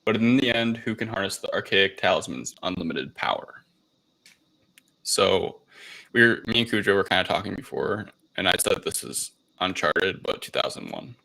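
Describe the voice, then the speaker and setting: A man's voice: sits low, expressive, normal speed, gravelly, pushed and bright, low-quality recording. A man co-hosting a casual movie review chat, rambling informally through a plot recap and sometimes swearing. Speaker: podcast co-host.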